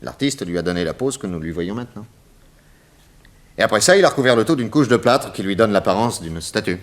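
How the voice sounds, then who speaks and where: An actor playing a confident detective boss in a 1960s French radio serial, explaining in an emphatic, didactic, theatrical way with dramatic pauses. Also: male voice, lowish voice, animated, close to an everyday voice. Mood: grave, assured. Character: confident male detective boss explaining crimes to his assistant.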